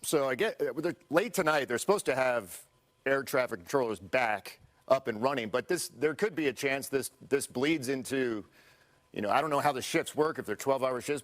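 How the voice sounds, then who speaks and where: American guy anchoring live tv news, mid pitched voice, reading stories and asking questions. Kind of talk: live newscast, reading and interviewing.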